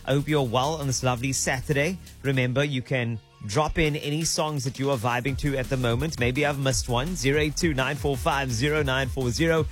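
A man's voice: mid-range voice, steady tone, a little fast, few pauses. A man hosting a radio countdown show, chatty and speaking straight to his listeners. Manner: chatty, addresses listeners directly. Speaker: radio host. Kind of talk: upbeat radio countdown presenting. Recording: music bed behind voice.

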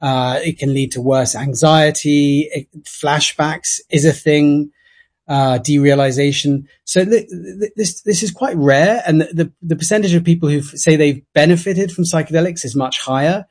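Man, london british accent, somewhat higher voice, thoughtful, stammering restarts. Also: moderate pace, a little mellow. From London, England. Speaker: author, radio guest. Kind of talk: interview answers over a remote line.

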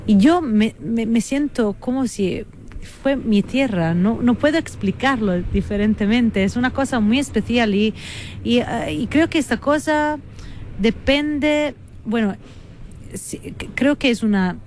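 Italian-accented woman, radio interview guest; slow, searching, earnest delivery over faint background noise. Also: a little high, a little mellow. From Italy. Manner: hesitant, searching for words, with many fillers and restarts; reflective and earnest. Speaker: guest musician.

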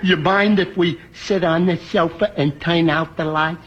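A man's voice: a little high, fairly even intonation, a little slow, dull audio, natural voice. An actor playing a dim-witted, hesitant man in a 1940s radio comedy, stumbling along with lots of "uh"s. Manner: hesitant, full of "uh"s, dopey. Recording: loud hiss on old radio recording.

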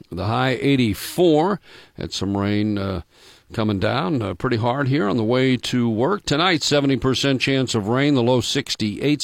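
Male radio newscaster, morning news read, brisk, matter-of-fact, dry close studio mic. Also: mid-range voice, vocal fry, no room sound. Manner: brisk, matter-of-fact reading with small asides.

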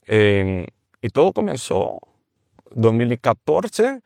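Brief: Brazilian man, non-native Spanish, halting delivery, dry close sound. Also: mid-range voice, very animated, gravelly, a little mellow, no room sound. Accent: Brazilian Portuguese. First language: Portuguese. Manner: halting, with restarts and searching for words. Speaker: guest, teacher of a ministry. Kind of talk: conversational testimony.

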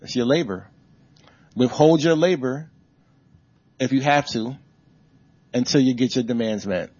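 A man's voice: mid-range voice, measured pace, darkish tone, breathy edge, lo-fi sound. A man, an author and labor organizer, answering an audience question at a book event in an emphatic, rallying, preacher-like cadence.

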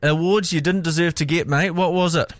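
A man's voice: fairly high pitch, steady tone, a little fast, dry recording, studio quality. A man hosting a breakfast radio show, jokey and friendly with the callers. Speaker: radio host. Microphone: studio mic.

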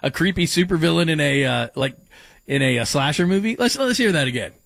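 A man's voice: fairly high pitch, expressive, a little fast, vocal fry, fairly bright tone, dry recording, studio quality. A man hosting a sports radio show, talking casually and rambling, joking with his co-host and laughing at his own bits.

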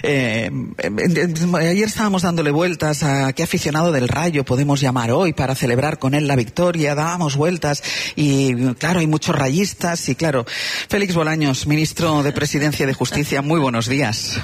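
A man's voice: on the high side, normal speed, slightly rough. A man with a Castilian Spanish accent hosting a conversational radio interview. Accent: Spain, Castilian. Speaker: radio host.

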